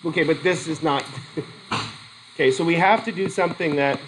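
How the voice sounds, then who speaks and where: A middle-aged man with a cold teaches a methods class, his voice sitting a little high for a man. He stops and restarts his sentences as he puts questions to the students. A faint hiss runs under the classroom recording. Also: moderate pace. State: has a cold. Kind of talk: classroom lecture. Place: classroom with a whiteboard.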